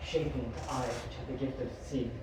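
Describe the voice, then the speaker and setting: A man's voice: medium pitch, steady tone, normal speed, natural voice. An actor playing an ominous man who solemnly intones doom-laden verse in an audio drama, with a portentous manner. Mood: grim foreboding. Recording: very echoey, distant, loud background noise.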